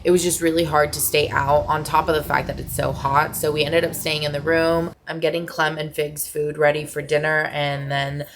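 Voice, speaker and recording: A woman vlogger with an American accent, chatting casually in her home kitchen in a sleepy, relaxed voice. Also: sits low, moderate pace, few pauses, background noise. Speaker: lifestyle vlogger. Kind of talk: casual vlog chat.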